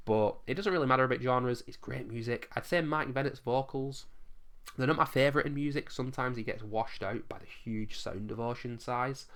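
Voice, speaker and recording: Hoarse man ranking favourite albums. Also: fairly low pitch, fairly bright tone. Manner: enthusiastic, chatty, swears casually. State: losing his voice, coughing. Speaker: music vlogger ranking albums. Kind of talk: casual spoken album countdown.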